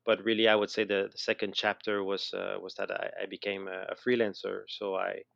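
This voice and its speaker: A middle-aged man with a Quebec French accent tells his story in a casual interview, halting and often repeating words mid-sentence. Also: low-pitched, flat delivery, vocal fry, fairly bright tone, no echo, muffled recording. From Montreal, Canada. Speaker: interview guest, educator and designer.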